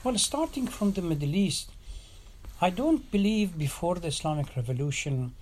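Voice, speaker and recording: A middle-aged man from Iraq, an imam being interviewed, speaking haltingly with many fillers and restarts. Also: high voice, very animated, a little mellow. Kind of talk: oral history interview.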